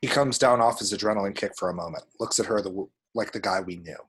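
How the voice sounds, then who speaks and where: A man narrates the action lines of a screenplay at a table read. His voice sits fairly low and has a husky, unpolished grain. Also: fast, fairly bright tone.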